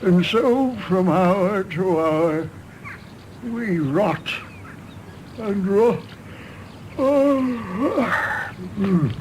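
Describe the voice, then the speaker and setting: A frail old Englishman in a radio play, high in pitch and slow of speech. He rambles wistfully and wearily, with faint noise behind him. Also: lively intonation, full character voice. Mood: weary. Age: older. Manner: rambling, halting, drowsy. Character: frail, reminiscing old man, weary of his failing body. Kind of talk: stage play performed as broadcast drama.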